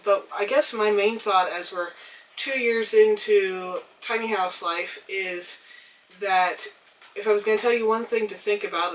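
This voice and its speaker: A young woman getting over a cold, her voice a little hoarse, talking thoughtfully to the camera with frequent pauses and ums. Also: mid-range voice, fairly bright tone, recorded from a distance, poor audio. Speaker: vlogger. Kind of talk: reflective casual talk to camera. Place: tiny house.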